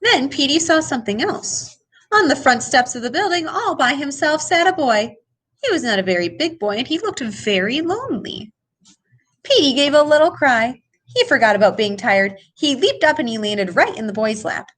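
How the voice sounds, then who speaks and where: A woman reading a children's picture book aloud in an expressive way, with dramatic emphasis. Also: sits high, moderate pace. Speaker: storybook reader. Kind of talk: animated children's read-aloud.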